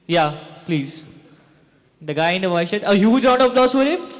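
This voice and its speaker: A man's voice: high voice, lively intonation, normal speed, big-room sound, a little hiss or hum. A young man co-hosting a student event, giving short plain instructions to the crowd. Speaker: event co-host. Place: large hall on stage.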